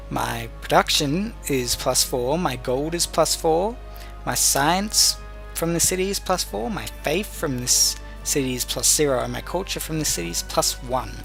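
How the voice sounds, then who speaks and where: A man's voice: a little high, vocal fry, fairly bright tone. A man giving explanatory video game commentary as a tutorial host, patiently going step by step with some hesitation. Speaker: gamer hosting a let's play tutorial.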